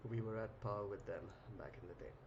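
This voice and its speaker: Relaxed man with many ums. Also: on the low side, brisk. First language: English. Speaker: live trading stream host. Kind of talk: casual live-stream chat reading viewer comments. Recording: muffled lo-fi audio with clear noise.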